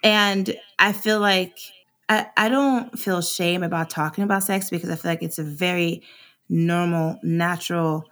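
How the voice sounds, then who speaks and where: A woman's voice: fairly low pitch, steady tone, unhurried, a bit bright. A woman in her thirties from Sweden, a podcast guest talking openly and casually with frequent laughs and fillers. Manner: open, laughs easily, many fillers.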